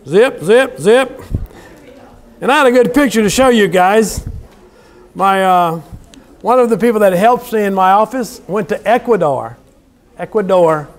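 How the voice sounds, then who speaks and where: A high-voiced man with a Southern US accent talks to his students like a folksy lecturer. He teases and jokes informally, throwing in exclamations as he goes. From South Louisiana, USA. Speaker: university lecturer. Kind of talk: informal classroom lecture banter. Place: university classroom.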